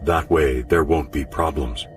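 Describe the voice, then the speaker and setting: Talking virtual room: very low, courteous, encyclopedic, set back behind loud music. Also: male voice, a little slow, recorded from a distance. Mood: formal, helpful. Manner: formal, instructive, encyclopedic. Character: polite talking virtual-reality room, an artificial guide. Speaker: computer guide. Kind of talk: radio drama acting.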